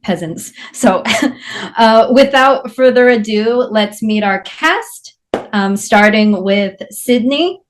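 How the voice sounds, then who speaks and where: A woman's voice: a little high, animated, slow pace, a little hiss or hum. A young woman informally hosting an online table read, introducing things as host. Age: young adult. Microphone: video call microphone.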